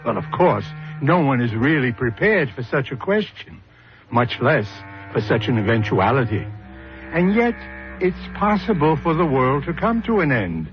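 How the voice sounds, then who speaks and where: A man hosting a 1970s radio drama with deliberate, theatrical scripted narration. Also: mid-range voice, very animated, soft background music. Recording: dull, lo-fi old radio recording.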